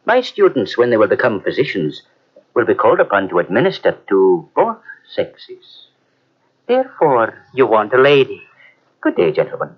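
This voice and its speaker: Formal surgeon-teacher, clipped, lively pitch, muffled radio. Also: male voice, normal speed, breathy edge, full character voice. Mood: brisk. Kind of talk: 1940s radio acting. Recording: muffled old radio recording.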